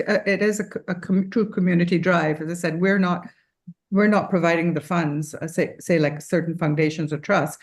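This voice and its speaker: Earnest rambling woman on a panel. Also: low voice. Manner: earnest, rambling with many fillers and restarts. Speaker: charity founder speaking on a panel. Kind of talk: casual panel discussion.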